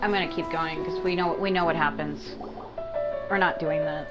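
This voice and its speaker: A woman's voice: sits low, fairly even intonation, moderate pace. A woman gaming streamer giving casual, reactive commentary as she plays. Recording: game music behind.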